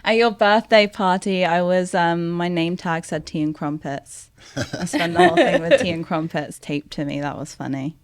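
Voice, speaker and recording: A woman's voice: fairly low pitch, expressive, moderate pace. A young English woman with a neutral British accent, chatting as a guest on a podcast, talking loosely with lots of fillers. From England. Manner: chatty, many fillers and hedges. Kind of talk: casual chat.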